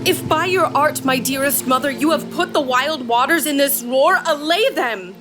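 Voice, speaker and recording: A woman's voice: high-pitched, moderate pace, over music, natural voice. A woman playing a tender-hearted, distressed young daughter, pleading emotively in a Shakespearean audio drama.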